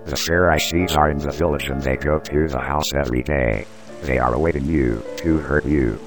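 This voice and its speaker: An actor playing the disciple Judas, urgent and pleading, with a gravelly voice. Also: male voice, rumbling low, normal speed. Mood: alarmed. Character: agitated impassioned male disciple Judas. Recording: music score underneath.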